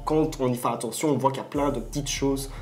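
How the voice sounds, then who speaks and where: A man's voice: medium pitch, steady tone, quick pace, music in the background. A man of about twenty explaining his views earnestly in a casual group discussion. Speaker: student panelist in a discussion.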